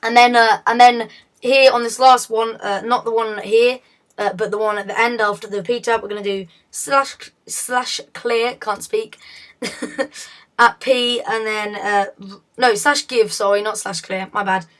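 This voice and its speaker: Hoarse woman explaining a game build. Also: fairly high pitch, steady tone, measured pace, a bit bright. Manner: chatty, self-correcting, often laughs at slips. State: hoarse, losing her voice. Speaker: video tutorial host. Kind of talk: casual game tutorial.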